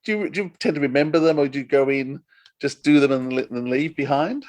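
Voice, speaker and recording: A man's voice: on the high side, brisk, a little mellow, no room sound. A man hosting a casual podcast interview, asking questions in a conversational, inquisitive way.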